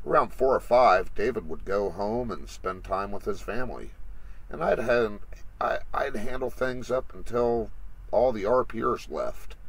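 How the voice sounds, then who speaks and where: A man's voice: fairly low pitch, expressive, a little slow, vocal fry, husky, full character voice, low-quality recording. A man playing an ex-Marine comic shop worker, narrating a scripted horror story in a dramatic, suspenseful way. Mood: wistful, uneasy. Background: music.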